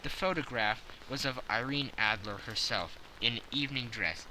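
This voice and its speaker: A man narrating a classic detective story as an audiobook in a measured, steady way. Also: mid-range voice, a little hiss or hum, rough recording.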